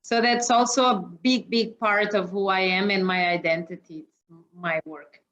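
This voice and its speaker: A woman's voice: mid-range voice, steady tone, unhurried, fairly bright tone. Portuguese-accented woman on a muffled video call. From Mozambique. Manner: hesitant, many fillers, laughs easily. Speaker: interview guest, operations executive. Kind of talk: casual video-call interview. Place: home office.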